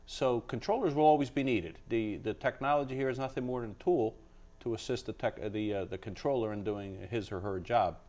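A man in his fifties answering interview questions as a college dean, explaining in a halting, measured way in a studio. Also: medium pitch, a little mellow. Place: indoor TV studio. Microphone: lapel.

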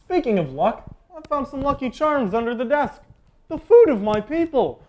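A man's voice: very high-pitched, sing-song, normal speed, a little mellow. A teenage male student anchor doing scripted comedic news banter in a put-on, exaggerated Irish accent. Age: teens. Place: small indoor school TV studio.

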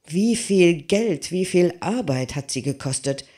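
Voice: mid-range voice, expressive, a little slow, voice pushed up, lightly acted. A reader putting on a desperate giant blacksmith. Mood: pleading. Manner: raised, loud, desperate pleading. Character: clumsy giant male blacksmith, childlike and pleading.

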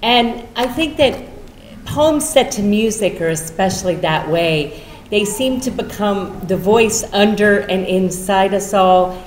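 A woman's voice: medium pitch, measured pace. A middle-aged woman giving an introduction speech at a public event, speaking into a podium microphone. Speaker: poet introducing a performer. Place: large meeting room with seated audience. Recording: roomy hall, some background noise.

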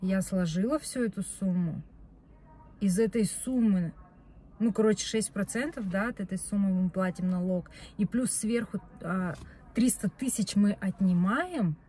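A woman's voice: medium pitch, a little slow, mellow, slight background noise. A young woman talking casually to camera from a parked car, rambling and often correcting herself as she goes. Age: young adult. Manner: chatty, rambling, self-correcting. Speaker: vlogger. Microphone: phone camera on dashboard.

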